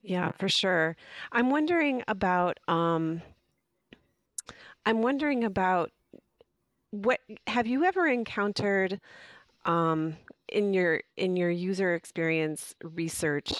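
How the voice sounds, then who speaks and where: Low-voiced woman host, dry sound. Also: slow, pauses often, no room sound. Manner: hesitant, filler-heavy questioning. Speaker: podcast co-host. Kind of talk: interview questions.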